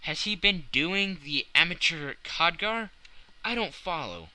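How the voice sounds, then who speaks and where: A man's voice: on the high side, animated, unhurried, sharp tone, voice pushed up, heavily acted. A man voicing a brash, puzzled young Victorian journalist in an audiobook, irreverent and quizzical. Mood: puzzled, flippant.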